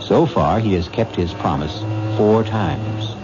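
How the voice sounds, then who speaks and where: A man hosting an old radio mystery, narrating from a script in a measured, suspenseful, dramatic way. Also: low voice, background noise. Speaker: host and narrator. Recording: dull old radio recording. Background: music.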